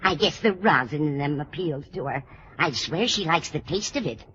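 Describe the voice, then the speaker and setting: A woman's voice: deep voice, animated, moderate pace, a bit bright. An actress playing a sweet, forgetful elderly landlady in an old-time radio comedy, kindly and dithering, often losing her thread. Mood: absent-minded, affectionate. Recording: dull, faintly noisy vintage radio recording.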